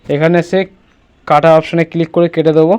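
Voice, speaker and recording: High-voiced man giving a quick tutorial. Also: flat delivery, darkish tone, clear, resonant tone. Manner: instructional, often checks listener follows. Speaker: tutorial presenter. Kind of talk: casual step-by-step screen tutorial.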